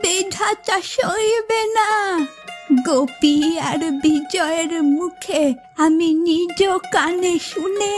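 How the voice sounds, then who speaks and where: A woman's voice: very high voice, drawn-out words, soft background music, heavily acted. A woman playing a wheedling, nagging elderly aunt in a cartoon fairy tale, plaintive and pushy. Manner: pleading, then threatening, plaintive.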